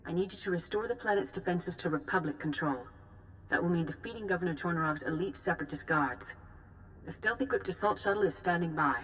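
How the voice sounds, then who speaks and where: Woman playing a grave, low-pitched senior Jedi commander. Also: a bit bright, slight background noise, lightly acted, rough recording. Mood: grave, commanding. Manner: measured, formal, authoritative. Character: calm, grave senior female Jedi leader giving orders. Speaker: commander giving a mission briefing. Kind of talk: video game cutscene acting.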